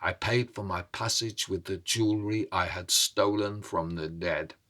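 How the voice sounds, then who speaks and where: Slow, deep-voiced dramatic male storyteller. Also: steady tone, fairly bright tone. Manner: measured, theatrical, suspenseful pauses. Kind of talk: dramatic oral storytelling over music. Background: instrumental music.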